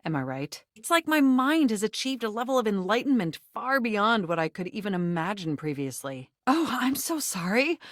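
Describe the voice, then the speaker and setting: A female computer personality from a video game, flirty and very pleased with herself. The delivery swings widely in pitch, chirpy and theatrical. The sound is dry and close. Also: no room sound. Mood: smug, seductive. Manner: chirpy, gushing, theatrical, self-satisfied. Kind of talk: video game character voice acting.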